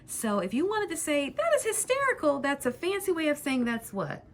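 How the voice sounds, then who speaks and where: A woman's voice: sits high, sing-song, normal speed, slight background noise. A woman teaching a lesson with questions to a student, encouraging and emphatic, drawing out key words.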